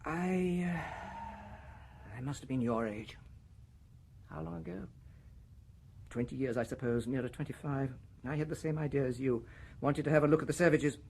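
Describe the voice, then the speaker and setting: An actor playing a stern, pompous middle-aged male director in a radio drama, husky and formal. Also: mid-range voice, moderate pace, long pauses, slight background noise, natural voice. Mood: reminiscing. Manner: reproving, then drifting into a hushed memory. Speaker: Director, a superior official. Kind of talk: 1990s radio drama acting.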